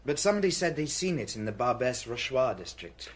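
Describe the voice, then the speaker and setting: A man's voice: mid-range voice, sing-song, fairly quick. An actor playing an eager, dutiful junior detective reporting to his superior in a radio drama. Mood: businesslike.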